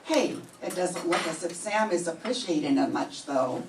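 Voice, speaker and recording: An older woman playing a chatty, excitable dinner guest in an old radio mystery, exclamatory and theatrical. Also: on the low side, normal speed, lightly acted. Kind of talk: 1940s radio mystery acting. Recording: echoey distant mic, clear background noise.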